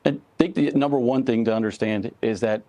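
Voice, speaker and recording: Very gravelly man, earnest spokesman. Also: mid-range voice, mellow, no room sound. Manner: earnest, emphatic, measured spokesman. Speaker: union president, interview guest. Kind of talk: live news interview answers.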